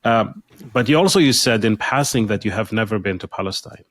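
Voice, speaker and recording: A man hosting a podcast interview, asking questions with lots of halting restarts and fillers. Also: fairly low pitch, a bit bright, dry recording. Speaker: podcast host. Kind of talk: conversational interview.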